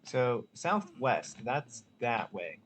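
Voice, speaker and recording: A man's voice: medium pitch, big pitch swings, very slow, a little hiss or hum. A man giving casual commentary as he plays through a video game. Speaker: gaming video creator. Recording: faint game music behind.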